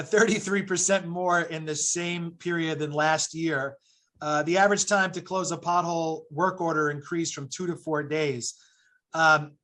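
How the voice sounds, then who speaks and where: A man's voice: a little high, fairly bright tone. A man serving as a council member, asking questions at a hearing in a casual, friendly way and reading prepared questions.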